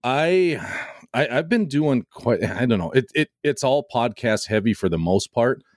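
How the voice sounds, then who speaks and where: A man with a slightly scratchy, hoarse voice, chatting casually on a podcast and rambling with frequent restarts. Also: mid-range voice, expressive, unhurried, no echo, studio quality. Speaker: podcaster guest. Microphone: home podcast mic.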